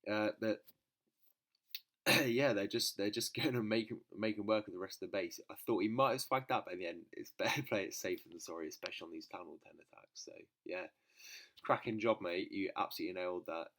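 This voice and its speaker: A man's voice: fairly low pitch, fairly quick, a bit bright. A British man giving casual gameplay commentary in a nasal voice, congested with a cold, in an informal, hesitant manner. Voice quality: nasal from a blocked nose. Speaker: gaming channel host.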